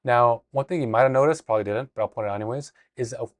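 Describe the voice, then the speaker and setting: Male Spanish teacher, mid-pitched, relaxed explainer who restarts his sentences, dry booth-like sound. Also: racing, no echo. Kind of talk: casual language lesson.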